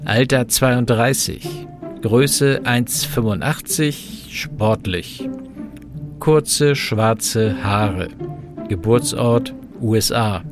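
Man acting a slow-spoken, dry professor over music. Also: medium pitch, natural voice. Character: dry, level-headed male professor in a sci-fi mystery. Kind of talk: German audio drama acting. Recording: music under the dialogue.

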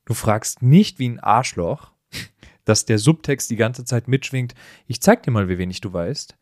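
A man's voice: medium pitch, lively intonation, a little slow, darkish tone, no room sound, studio quality. A man hosting a podcast, giving casual, direct advice in a coaching manner. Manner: coaching, direct, often laughing.

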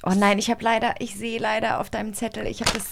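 A woman's voice: fairly high pitch, flat delivery, fast talker, vocal fry, a bit bright, slight background noise. A woman co-hosting in casual, playful banter, teasing and encouraging the others. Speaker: co-host of an audio drama magazine show.

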